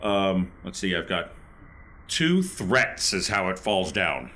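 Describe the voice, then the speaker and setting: A man's voice: low-pitched, very animated, slow pace, sharp tone. A man playing a tabletop game, chatting casually through his moves, with some background noise.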